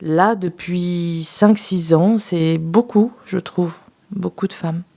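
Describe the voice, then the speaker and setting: A woman who is a sex therapist, a guest on a radio talk show, speaking in a measured, thoughtful way with some hesitation. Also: on the low side, fairly even intonation, vocal fry, mellow. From France.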